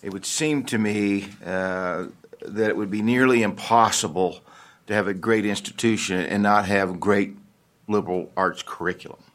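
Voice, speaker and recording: Low-voiced man chairing a board meeting; measured, halting delivery. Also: fairly even intonation. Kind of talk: formal meeting discussion.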